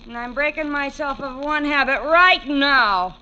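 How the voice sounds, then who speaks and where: An actress playing a defiant, drunken socialite in an old radio crime drama, sarcastic and taunting. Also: female voice, sits high, measured pace, sharp tone. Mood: taunting, bitter. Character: defiant, drunken socialite wife facing her blackmailer. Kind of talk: 1940s-50s radio crime drama acting. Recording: dull old radio recording.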